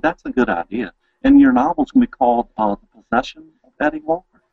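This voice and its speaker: A man's voice: very high-pitched, huge pitch swings, quick pace, creaky, slightly rough, dry recording. A man hosting an interview in a casual, chatty style. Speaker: interviewer, show host. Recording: dry, dull lo-fi audio.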